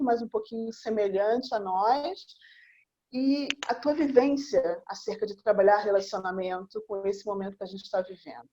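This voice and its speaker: A woman's voice: a little high, dark tone, highs cut off. A woman hosting an online talk show, introducing her guest with flowing, repeated phrases.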